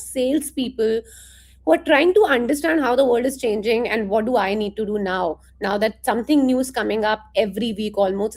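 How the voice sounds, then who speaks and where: A woman's voice: fairly high pitch, darkish tone. A woman hosting a live interview stream, introducing her guest enthusiastically over a video call.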